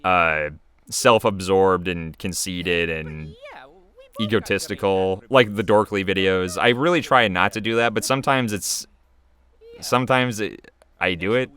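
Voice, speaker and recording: A man giving casual, rambling, self-critical commentary on his own animated series as its creator. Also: sits low, measured pace.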